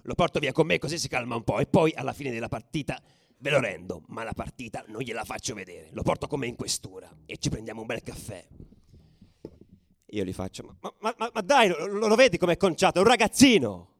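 Actor as a volatile football ultra, animated delivery. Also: male voice, mid-range voice, a little fast, vocal fry. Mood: agitated. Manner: colloquial, foul-mouthed, volatile, swinging from hushed to shouted. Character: fiery male football ultra recounting street clashes. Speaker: actor narrating a radio theatre monologue. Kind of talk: dramatic first-person storytelling, radio theatre.

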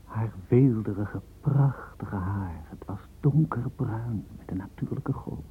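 A man narrating a radio play as an admiring storyteller, with a breathy, husky voice and lingering, florid delivery. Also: lowish voice, slow pace, a little hiss or hum. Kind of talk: scripted literary narration in radio drama. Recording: thin, phone-like radio play recording.

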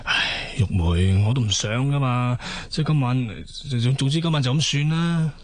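An actor playing a sheepish, exasperated young husband in a Cantonese radio drama, stammering and sighing with a Hong Kong accent. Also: male voice, medium pitch, normal speed, dry recording, lightly acted. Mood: weary, dismissive. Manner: stammering, sighing, repeats himself.

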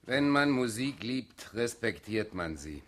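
An actor in a radio play voicing a music-loving man with a measured, pointed way of speaking. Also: fairly low pitch, big pitch swings, fairly bright tone, full character voice. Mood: pointed, smug. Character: smug music-loving man, courteous dance-hall suitor. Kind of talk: German radio play dialogue.

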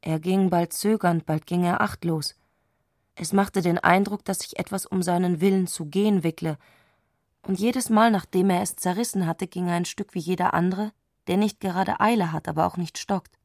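A woman reading literary narration for a radio play in a scripted, measured storytelling style. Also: fairly low pitch, fairly even intonation, a little mellow, dry recording, studio quality.

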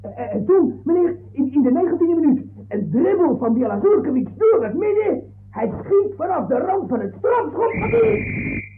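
Very high-pitched, excitable football fanatic. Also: male voice, moderate pace, exaggerated voice. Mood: excited. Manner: stammering, rambling, exclaiming. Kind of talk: 1960s comic radio play acting. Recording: old narrow-band radio recording.

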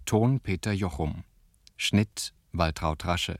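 A man's voice: low voice, takes it slowly, no room sound, clean studio sound. A man reading radio play credits as a scripted announcement.

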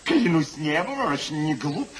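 An actor playing a gossipy older gentleman, a boastful doting uncle, in an exclamatory 1950s Russian radio comedy style. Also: on the high side, big pitch swings, moderate pace, noisy background. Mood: proud boasting. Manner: theatrical, exclamatory, emphatic. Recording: 1950s radio recording, audience audible.

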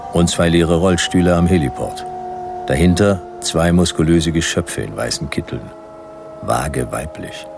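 A man's voice: extremely low pitch, vocal fry, noisy background. An actor playing a laconic, sardonic private detective who narrates his case in dry, clipped phrases for a radio play. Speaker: narrator and lead character. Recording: music behind the voice. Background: music.

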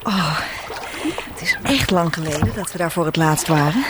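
An actress playing a cheerful sister who travels the world, breezy and teasing, in a Dutch radio play. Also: female voice, low voice, expressive, normal speed, background noise. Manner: breezy and teasing, with frequent laughs. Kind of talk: radio drama acting.

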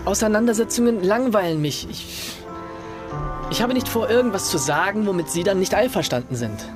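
An actor playing a reserved, stubborn young Englishman in a German radio drama, speaking in short, polite, deadpan phrases. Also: high voice, brisk, music in the background. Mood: evasive, irritable.

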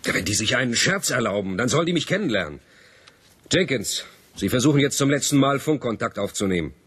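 A man's voice: mid-range voice, expressive, quick pace, fairly bright tone, slightly rough. A man playing an impatient, commanding spaceship captain in a science fiction radio play, brusque and barking orders.

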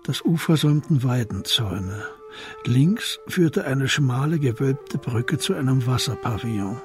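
An actor as the narrator of a radio crime drama, reading literary description in a measured, composed manner. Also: male voice, mid-range voice, lively intonation, over music, close to an everyday voice. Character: composed literary storyteller of a detective tale.

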